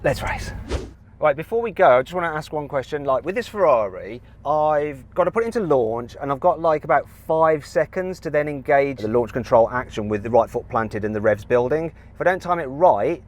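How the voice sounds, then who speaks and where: A man with a Midlands accent presenting a car show, talking in an excitable, animated way and laughing in disbelief. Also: a little high, a little fast, slight background noise. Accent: English Midlands. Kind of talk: excitable on-camera commentary.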